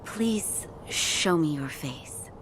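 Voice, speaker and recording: Game cutscene role: calm female physician in noble service, deep for a woman, courteous and measured, audible background noise. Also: exaggerated voice. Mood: quietly curious. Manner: formal, measured, reserved. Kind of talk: video game cutscene acting.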